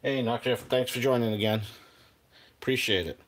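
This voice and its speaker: A man's voice: mid-range voice, steady tone, normal speed. An older man with a New England accent, chatting casually while cooking on a livestream, rambling with lots of fillers. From Boston area, New England. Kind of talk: casual live cooking chat.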